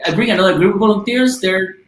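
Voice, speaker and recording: A man's voice: high voice, fast, slight background noise. A man from Guatemala speaking as a podcast guest, earnest and passionate, with frequent "uh"s. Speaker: guest from a volunteer charity. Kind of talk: casual podcast interview. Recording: roomy, echoey sound.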